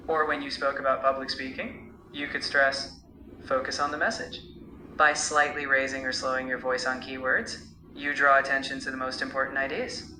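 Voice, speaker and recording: Male AI tutor, very bright sharp tone, roomy sound, light noise, measured coaching. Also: medium pitch, flat delivery. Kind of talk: encouraging spoken feedback.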